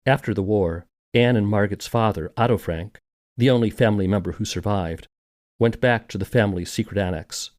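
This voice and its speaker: A man's voice: lowish voice, fairly quick, darkish tone, dry recording, clean studio sound. A man hosting a documentary podcast and reading scripted narration. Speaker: podcast host.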